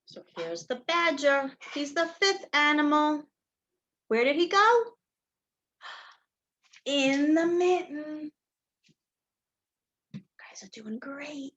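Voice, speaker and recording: A woman's voice: high voice, slow pace, long pauses, highs cut off. A woman reading a picture book aloud to young children like a teacher, expressively, with questions and asides to her listeners. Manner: expressive, addresses children as friends, asks questions, gasps for effect.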